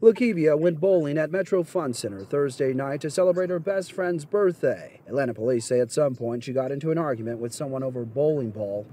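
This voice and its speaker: A man's voice: mid-range voice, expressive, brisk, few pauses, mellow, slight background noise. Somber male TV news reporter. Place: outdoors at a parking lot.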